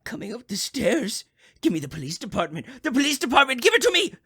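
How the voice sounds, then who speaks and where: Radio drama role, played by a woman: querulous, panicky invalid man, high swooping voice, slightly husky, stammering. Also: sing-song, fast talker, fairly bright tone, heavily acted. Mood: terrified, desperate. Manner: stammering, agitated, repeats words.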